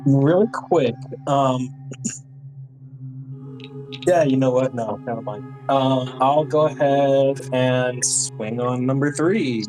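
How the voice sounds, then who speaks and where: A man plays a tabletop game, chatting in a playful, jokey way. He takes his time, at an average pitch. Music is clearly audible behind him. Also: slow, a little mellow, low-quality recording. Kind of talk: casual chat during a game. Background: clearly audible background music.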